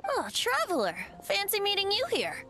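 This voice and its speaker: A woman's voice: very high voice, wildly swooping pitch, normal speed, background noise, full character voice. A woman voicing a youthful boy bard in a video game, breezy and carefree, with a lilting, teasing and coy delivery. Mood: cheerful. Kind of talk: video game character dialogue.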